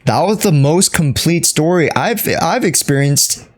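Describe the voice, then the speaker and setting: A man talking off the cuff to his livestream chat, halting and repeating himself as he searches for words. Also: a little high, expressive. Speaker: streamer. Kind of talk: unscripted livestream commentary to chat.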